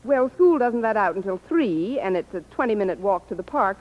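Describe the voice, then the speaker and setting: An actress playing a wisecracking schoolteacher who delivers dry, quick comebacks, in 1950s radio sitcom style. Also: female voice, medium pitch, lively intonation, muffled recording, natural voice. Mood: wry. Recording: old radio broadcast with studio audience laughter and hiss.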